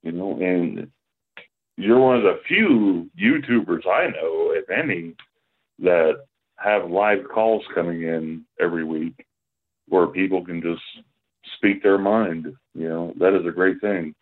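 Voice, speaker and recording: Slow, very deep-voiced man on the phone. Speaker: caller. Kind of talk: call-in show chat. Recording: tinny phone line.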